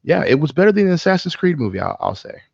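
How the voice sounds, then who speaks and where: A man's voice: on the high side, very animated, fast, creaky, a little mellow, breathy edge, dry recording, lo-fi sound. A man co-hosting a podcast, chatting casually in a blunt, opinionated way. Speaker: podcast co-host. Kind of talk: casual chat.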